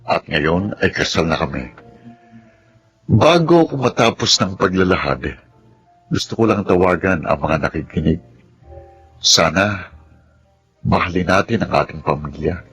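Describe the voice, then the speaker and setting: A man's voice: low-pitched, a bit bright, exaggerated voice. A man playing a remorseful grown son in a sentimental, earnest radio drama, acting and narrating his life story. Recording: music under the voice. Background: music.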